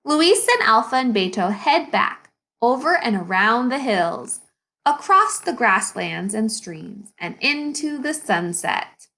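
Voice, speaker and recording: A woman with a fairly high voice reads a picture book to young pupils as their teacher. Her pitch moves up and down in a very lively way. She takes her time and stops to explain or question in an instructive manner. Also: slow pace. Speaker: elementary school teacher.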